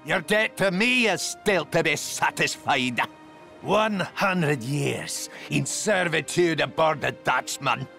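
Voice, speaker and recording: An actor playing a menacing supernatural sea captain in a video game, with a gravelly voice and a commanding, drawn-out delivery. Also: male voice, on the high side, lively intonation, faint music behind, full character voice. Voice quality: gravelly, forceful. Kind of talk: video game cutscene acting.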